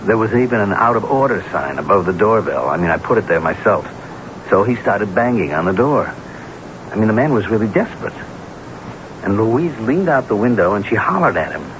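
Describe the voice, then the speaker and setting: An actor playing an anxious, guilt-ridden unfaithful husband in a radio mystery drama, stammering and repeating words earnestly. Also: male voice, fairly low pitch, sing-song, brisk. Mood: anxious explaining. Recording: noisy, muffled old radio broadcast recording.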